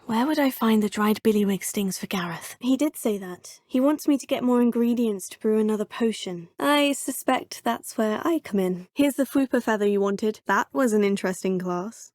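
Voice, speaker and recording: A young woman voicing a polite, self-assured female witch student in a video game, with measured, proper phrasing. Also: fairly high pitch, a little mellow, no echo, studio quality. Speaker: player character. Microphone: studio mic.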